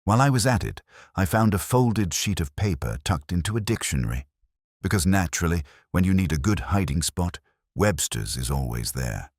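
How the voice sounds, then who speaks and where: A man's voice: sits low, big pitch swings, normal speed, darkish tone, dry recording, full character voice. A man playing a wry, tough detective's assistant who narrates a mystery story in a dry, wisecracking manner. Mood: wry, probing. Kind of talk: first-person detective audiobook narration.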